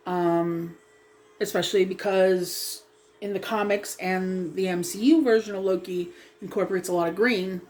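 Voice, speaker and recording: Slow, hesitant woman with a froggy voice. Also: medium pitch, a little mellow. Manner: hesitant, rambling, full of ums, self-apologizing. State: sniffly and slightly froggy from a lingering cough. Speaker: hair-dye vlogger. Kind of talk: casual chat while doing hair.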